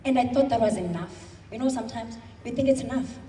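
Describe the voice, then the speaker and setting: A South African woman in her thirties giving an emphatic motivational speech at a convention, stretching her words into slow dramatic pauses. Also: on the high side, dark tone, slight background noise. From South Africa, Eastern Cape. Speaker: convention speaker. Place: large hall. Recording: echoey hall, speaker far from mic.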